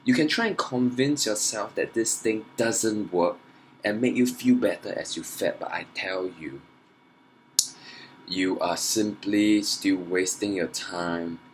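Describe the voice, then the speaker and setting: Low, slow-talking man vlogging earnestly. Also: a little hiss or hum, poor audio. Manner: earnest, emphatic, persuasive. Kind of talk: personal challenge vlog, motivational talk.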